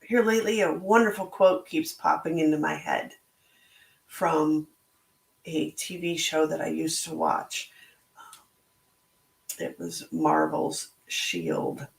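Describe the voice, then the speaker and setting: Slow, slightly hoarse woman in an echoey room. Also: low voice. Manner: slow, thoughtful, motivational delivery. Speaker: podcast host and book club organizer. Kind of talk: reflective solo talk.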